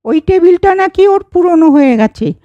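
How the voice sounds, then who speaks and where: A woman's voice: very high-pitched, animated, normal speed, dark tone, dry recording, muffled recording. A woman voicing a sensible, dutiful big sister, a schoolgirl explaining things earnestly in a children's cartoon. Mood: earnest, obliging.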